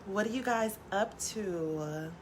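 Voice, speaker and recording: A woman who is a tarot reader, hosting a casual live stream and chatting with viewers in a soft, low voice, with lots of "like" and casual swearing. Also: slow pace, noisy background. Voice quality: low natural voice.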